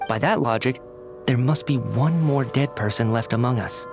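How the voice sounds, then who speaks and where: A man's voice: mid-range voice, moderate pace, vocal fry, over music, lightly acted. An actor playing an earnest, courteous young man in a video game, articulate and reasoning things out aloud. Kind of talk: video game cutscene acting.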